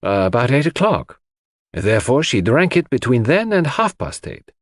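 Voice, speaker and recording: Guy reading as a polite earnest gentleman sidekick, audiobook style, lively pitch, close dry sound. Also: normal speed, dry recording. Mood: curious, earnest. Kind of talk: audiobook character acting.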